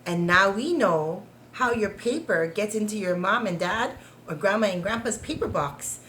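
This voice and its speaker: A woman reading a picture book aloud to young children in a gentle, deliberate, expressive way, with questions put to the listeners. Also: medium pitch, slight background noise. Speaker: storytime reader for preschoolers.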